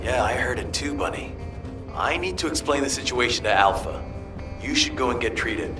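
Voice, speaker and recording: A man's voice: medium pitch, animated, moderate pace, close to an everyday voice. An actor playing a composed, practical male staff officer in a video game, plain and informative. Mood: concerned. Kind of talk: scripted video game acting. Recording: echoey, distant, loud music behind.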